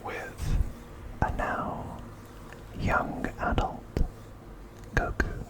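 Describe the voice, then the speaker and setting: Very slow whispering ASMR man. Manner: hushed, slow, deliberate delivery. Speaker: ASMR video host.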